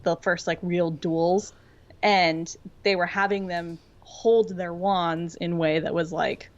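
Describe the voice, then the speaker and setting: Bit muffled lofi mic, woman w lowish voice, casual jokey podcast guest, nerdy fan talk. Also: fairly even intonation, slow pace. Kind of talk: casual pop-culture chat.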